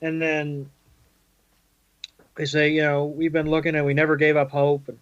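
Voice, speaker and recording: The audio is dull and lo-fi. A man with a slightly raised pitch co-hosts a podcast, casually recapping a story in conversational phrases. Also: monotone, normal speed, clean tone. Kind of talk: casual chat, episode recap.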